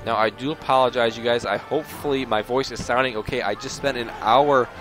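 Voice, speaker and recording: A man's voice: medium pitch, fairly even intonation, a little fast, a bit bright. Scratchy-voiced man commentating over game music. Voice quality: a little scratchy. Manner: analytical, explanatory. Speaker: esports replay commentator. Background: video game music.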